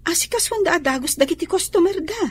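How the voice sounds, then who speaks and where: A woman's voice: extremely high pitch, lively intonation, fast talker. A woman playing a feisty, scheming young shop employee with an Ilocano Filipino accent in a radio drama, assertive and complaining. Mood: scheming, bold.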